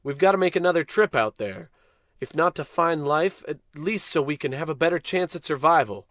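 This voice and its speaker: An actor playing a pompous, dim, blustering spaceship captain in an old-time radio comedy, commanding and self-important. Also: male voice, fairly high pitch, expressive, brisk, a bit bright, no room sound, studio quality.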